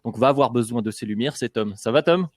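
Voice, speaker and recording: A man's voice: medium pitch, fast, no echo. A man hosting a podcast discussion, chatty and full of hesitations and fillers. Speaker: podcast host.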